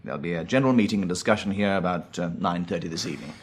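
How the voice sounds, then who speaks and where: A man acting in a radio drama as a brisk, genial organiser of survivors, polite as he gives out instructions. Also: low voice, animated, a little hiss or hum. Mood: brisk, affable. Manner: brisk, polite, hesitant fillers between orders.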